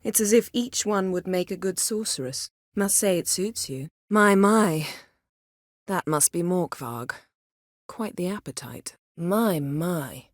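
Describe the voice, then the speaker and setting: A woman playing a proud, sardonic, commanding sorceress in a video game, speaking in dry, measured, formal phrases. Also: on the low side, animated, no echo. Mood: cool, wry.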